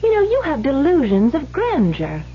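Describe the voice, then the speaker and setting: Guarded defiant woman, lively swings in her voice, dull old radio sound. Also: high-pitched, normal speed. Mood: wary, scornful. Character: guarded, proud former actress, unhappily married, defiant. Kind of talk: old-time radio drama acting. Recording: muffled vintage radio broadcast, faint hum.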